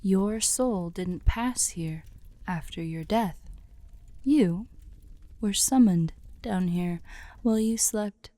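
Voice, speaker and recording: A woman playing a teasing, sly demon in a scripted ASMR roleplay, speaking formally in soft, breathy half-whispers close to the microphone. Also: mid-range voice, takes it slowly, long pauses, no echo, close to an everyday voice. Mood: taunting. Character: teasing, sly female demon offering a soul contract.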